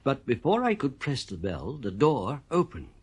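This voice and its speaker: Troubled man recounting an eerie tale, animated. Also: mid-range voice, normal speed, slight background noise. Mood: troubled, confiding. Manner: troubled, confiding first-person storytelling. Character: uneasy, suspicious male composer telling his own eerie story. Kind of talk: radio play narration and dialogue.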